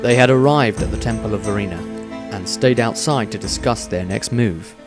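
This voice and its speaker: A man reading his own fantasy story as scripted narration, in a deliberate, dramatic manner. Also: lowish voice, over music.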